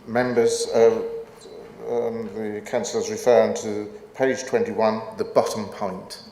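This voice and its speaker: A man chairing a council planning committee, calling on speakers and keeping the meeting moving briskly. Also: lowish voice, little pitch movement, warm tone, roomy sound, big-room sound, slight background noise, poor audio. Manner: brisk, directive.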